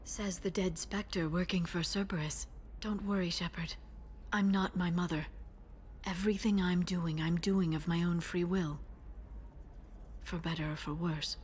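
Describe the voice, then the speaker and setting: A woman playing a guarded, driven young alien information broker bent on revenge, in an earnest, measured and intense manner for a video game. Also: on the low side, fairly even intonation, a little mellow, slight background noise, natural voice. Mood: resolute. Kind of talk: cinematic video game voice acting.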